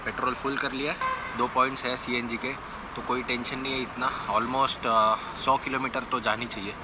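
A man's voice: medium pitch, level tone, moderate pace. A young man casually narrating a road-trip vlog from inside his car and at roadside stops, explaining routes and tips to his viewers. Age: young adult. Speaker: travel vlogger. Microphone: small camera held or mounted close. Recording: road and wind noise behind.

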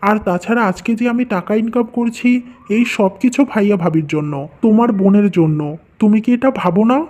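Man playing an earnest young husband, softened tone. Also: high-pitched, fairly quick, muffled recording, close to an everyday voice. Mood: indignant. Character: earnest, dutiful young husband devoted to his family.

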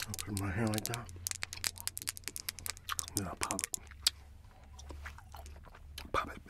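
A middle-aged man softly whispering in an ASMR roleplay as a friendly, chatty shopkeeper, close to the microphone. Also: lowish voice, steady tone, slow pace, very noisy. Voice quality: soft, mostly whispered. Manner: friendly, chatty, apologetic shopkeeper patter with repeated words. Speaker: ASMR roleplay performer playing a friendly candy shop owner.

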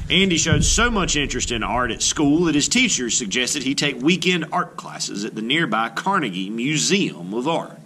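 Male art teacher for a kids' read-aloud: mid-range pitch, very animated and emphatic, some noise behind the voice. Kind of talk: children's book read-aloud.

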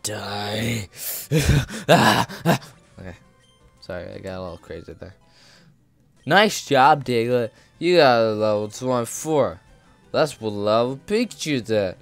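A man's voice: mid-range voice, lively intonation, normal speed, gravelly, no room sound. A man giving casual, rambling commentary over a video game, joking in a goofy way and often repeating himself. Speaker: gaming video creator. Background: video game music.

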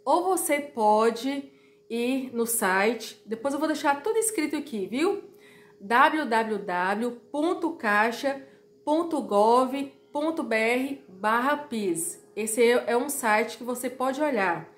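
A woman in her thirties from Brazil, a little hoarse, chatting straight to her viewers in an informal explainer vlog. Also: fairly high pitch, expressive, slow, roomy sound. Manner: chatty, direct to viewers, repeats warnings. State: slightly hoarse. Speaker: YouTuber. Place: small room at home, indoors.

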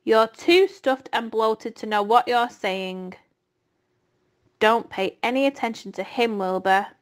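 Kind, tired storybook spider voiced by a woman: gentle, slow and reassuring, a little high. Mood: stern. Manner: soft, calm, reassuring. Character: gentle, aging female spider, weary and kind.

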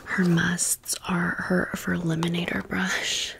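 Female ASMR host, creaky breathy whisper, lowish, rambling storyteller manner. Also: completely flat delivery, slow, noisy background. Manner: chatty, rambling, wry.

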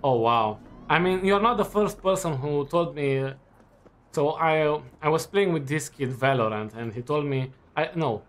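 A man in his twenties chatting casually while playing a game, relaxed and rambling with lots of fillers and restarts. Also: a little high, normal speed. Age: 20s. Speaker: student gaming while chatting. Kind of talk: casual chat over gameplay.

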